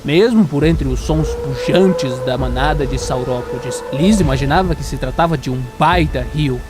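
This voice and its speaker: A man giving scripted third-person narration for an audio drama. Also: on the high side.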